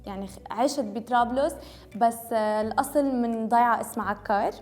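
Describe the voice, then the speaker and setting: A woman's voice: a little high, a little fast, soft background music. Chatty young woman with a northern Lebanese accent. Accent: Tripoli Lebanese Arabic with Syrian Homs touches. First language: French. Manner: mixes English words into Arabic, chatty and self-correcting. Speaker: beauty vlogger. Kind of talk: casual chat to camera.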